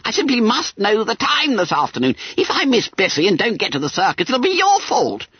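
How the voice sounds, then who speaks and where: A shrill, squeaky fat schoolboy stammers and babbles, full of self-pity. His high voice swoops wildly and sounds a little breathy. The recording is dry and dull. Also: huge pitch swings, brisk, dry recording, full character voice. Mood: whining. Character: fat greedy schoolboy, whiny and self-pitying.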